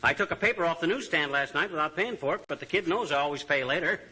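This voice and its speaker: An actor playing a blustering, cocky husband with flowery sarcastic wisecracks, in the manner of 1940s radio comedy. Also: male voice, fairly high pitch, expressive, fast, sharp tone, breathy edge, heavily acted, rough recording. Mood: defensive. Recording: hissy old radio broadcast.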